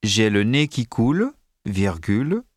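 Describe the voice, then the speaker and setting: A man reading a school dictation text slowly and deliberately, speaking the punctuation marks aloud. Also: lowish voice, big pitch swings, dry recording, clean studio sound. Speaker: dictation reader.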